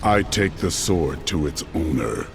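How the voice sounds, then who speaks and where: Stern resolute hunter, very deep voice, game music under it. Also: male voice, animated, a little slow, creaky, exaggerated voice. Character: stern male hunter on a quest.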